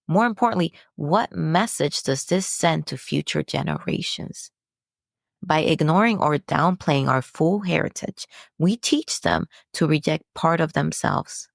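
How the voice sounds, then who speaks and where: A woman's voice: low-pitched, gravelly, no echo, clean studio sound. A woman from the Dominican Republic hosting a podcast, talking through a personal reflection in an earnest, preachy way with rhetorical questions. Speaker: podcast host.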